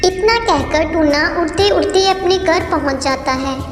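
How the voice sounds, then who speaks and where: A woman's voice: extremely high pitch, a little slow. A woman reading scripted narration for a children's story as the storyteller. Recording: echoey long reverb over music.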